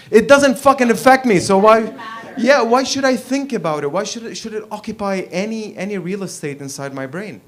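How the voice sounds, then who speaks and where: A man in his thirties from Lebanon telling a personal story at an open mic, blunt and profane, laughing and getting louder as he gets worked up. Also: fairly high pitch. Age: 30s. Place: small performance venue, in front of an audience.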